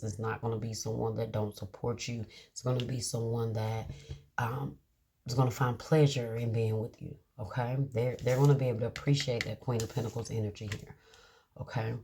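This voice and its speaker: Husky-voiced woman giving a tarot reading. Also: very deep voice, mellow. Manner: drawn-out, reassuring, many "okay?" check-ins; reads card meanings aloud slowly. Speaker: tarot reader. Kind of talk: casual tarot reading to viewers.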